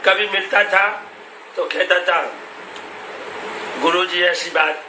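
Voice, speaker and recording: A man's voice: high voice, fairly even intonation, slow pace. An elderly man, a religious storyteller, answering interview questions informally in a rambling way that repeats phrases. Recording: noisy echoey call recording, distant voice.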